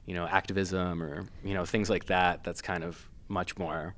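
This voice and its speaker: A man from Massachusetts, a filmmaker guest, talking casually with many pauses, fillers and restarts. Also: sits low, level tone, fairly bright tone. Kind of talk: casual interview.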